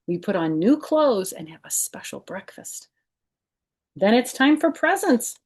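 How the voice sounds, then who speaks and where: A woman's voice: on the high side, very animated, measured pace, a little mellow, breathy edge. A woman hosting a children's storytime, reading a picture book aloud expressively and adding chatty, informal explanations.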